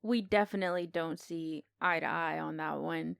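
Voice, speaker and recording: A woman's voice: low voice, fairly even intonation, moderate pace, gravelly, clear, resonant tone, no room sound, clean studio sound. A woman co-hosting a podcast, chatting casually about films and retelling things with enthusiastic expression. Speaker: podcast co-host. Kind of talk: casual film discussion.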